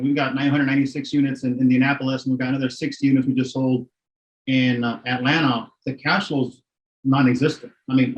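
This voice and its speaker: A man's voice: mid-range voice, lo-fi sound. A middle-aged man from Miami, a real estate investor speaking as an interview guest, candid and matter-of-fact, often adding "right?". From Miami, Florida. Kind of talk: casual interview talk.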